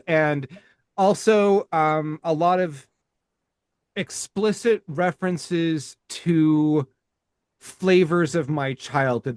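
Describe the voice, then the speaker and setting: Male podcast host, slightly high voice, unhurried and halting, reflective delivery, close dry recording. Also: no room sound. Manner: halting, thoughtful, carefully weighing words.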